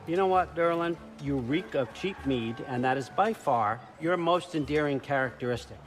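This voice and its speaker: A man's voice: on the high side, moderate pace, soft background music, natural voice. An actor playing a brash, self-important, sly Norse god-king in a cinematic video game. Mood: domineering. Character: commanding, sly Norse god-king, gruff father figure.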